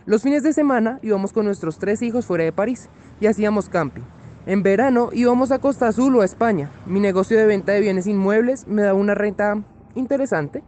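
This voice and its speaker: Man playing a high-voiced, reflective castaway. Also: brisk, a little mellow, a little hiss or hum, lightly acted. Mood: calm, reflective. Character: French self-chosen castaway, former comfortable family man, solemn. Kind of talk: radio theatre monologue.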